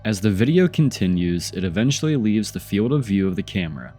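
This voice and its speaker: A man's voice: fairly low pitch, big pitch swings, a little fast, mellow, soft background music. A congested man with a stuffy voice from a cold, hosting and narrating video footage in a skeptical tone that poses questions. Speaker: channel host narrating UFO footage. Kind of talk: voice-over commentary on video clips.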